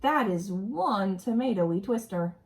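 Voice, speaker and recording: A woman's voice: mid-range voice, slow. A woman reading a children's story aloud expressively, as an educator addressing young listeners. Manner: animated storytelling with explanatory asides and questions to young listeners. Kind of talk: expressive picture-book read-aloud.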